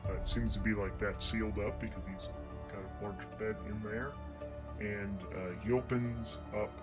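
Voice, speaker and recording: A man narrating an improvised tabletop game story as solo game master, halting and often pausing to search for words. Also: on the low side, steady tone. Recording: music playing under the voice. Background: prominent instrumental music.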